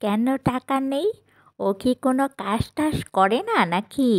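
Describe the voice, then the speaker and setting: A woman's voice: on the high side, animated, measured pace. Woman acting a stern, scolding village mother-in-law. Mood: questioning, displeased. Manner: questioning, scolding. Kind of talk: cartoon voice acting.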